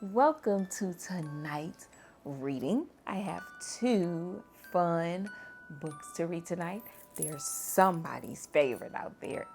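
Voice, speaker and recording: A woman reads a children's picture book aloud, taking her time and leaning into the exciting bits with a gentle, expressive lilt. Her voice sits a little low for a woman, and soft music plays quietly beneath her. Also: creaky. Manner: gentle, expressive, lively on exclamations. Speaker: storytime reader.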